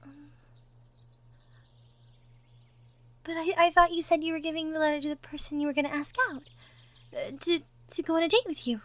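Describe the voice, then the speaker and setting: A woman voicing a sweet, shy young cottage girl in love, gentle and stammering, in a girlfriend roleplay audio drama. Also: high voice, fairly even intonation, fast talker, lightly acted. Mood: flustered, surprised. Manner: stammering, gentle, breathy asides.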